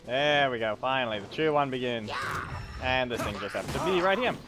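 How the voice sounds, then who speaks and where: A man's voice: medium pitch, animated, brisk. A man giving casual, offhand gameplay commentary as a streamer. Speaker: game streamer. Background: game music.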